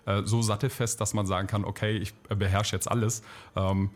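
Male guest, lowish voice, hesitant and full of fillers. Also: level tone, brisk. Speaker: company employee, radio guest. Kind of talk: radio interview answers.

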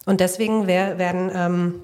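A woman from Italy answers interview questions as a nursing teacher. Her voice sits a little low, and she explains things step by step in a detailed, patient way. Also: flat delivery, moderate pace, a little mellow.

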